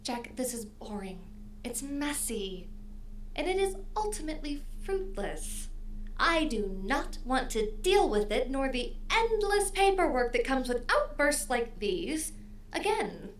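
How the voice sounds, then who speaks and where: A woman's voice: high-pitched, very animated, slight background noise. A woman playing a smug, cruel audio drama villainess who speaks with mocking, menacing courtesy. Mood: coaxing, condescending. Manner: mocking, drawn-out, chuckling, menacingly polite.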